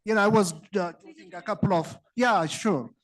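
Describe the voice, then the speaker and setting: A man from Ethiopia with an Ethiopian accent, a college dean putting an earnest, explanatory question to a panel with hesitant pauses. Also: high-pitched. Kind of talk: spoken question at a panel discussion.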